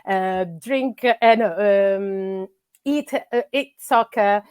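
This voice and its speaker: A middle-aged woman with an Italian accent chats in a podcast interview. She pauses often with fillers and restarts her sentences. Her voice sits at an ordinary pitch and sounds dry and close. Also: darkish tone, clear, resonant tone, dry recording. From Italy. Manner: hesitant, many fillers and restarts. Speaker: soccer coach.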